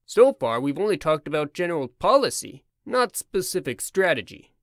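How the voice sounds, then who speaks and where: A man's voice: a little high, very animated, normal speed, dry recording, clean studio sound. A man voicing an indignant, scheming talking farm animal in a theatrical, emphatic manner for a comic dub. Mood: smoothly evasive.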